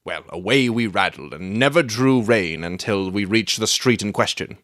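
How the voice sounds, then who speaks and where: A man playing a brisk, self-assured Victorian detective in a dramatic audiobook, telling things with confidence. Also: on the low side, expressive, fairly bright tone, no room sound, clean studio sound. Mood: wry storytelling.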